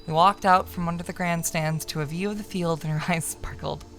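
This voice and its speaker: Low-pitched woman narrating a story. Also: brisk. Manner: expressive read-aloud, stumbles and corrects herself. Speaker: streamer. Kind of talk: reading a visual novel aloud as narration.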